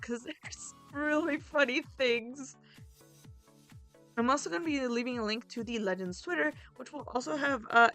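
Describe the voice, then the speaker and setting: A woman with a fairly high voice talks casually to her viewers as a video creator. Her delivery is informal and chatty, like an end-of-video update. Soft music plays behind her. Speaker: roleplay series host and video creator. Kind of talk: casual YouTube outro announcements, chatting to viewers. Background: background music.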